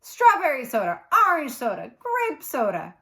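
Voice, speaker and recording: Woman reading as exuberant, big-dreaming dad, lifted pitch. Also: wildly swooping pitch, moderate pace, sharp tone, full character voice. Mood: playful, exuberant. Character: big-dreaming, exuberant father of a young girl. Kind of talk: children's audiobook read-aloud.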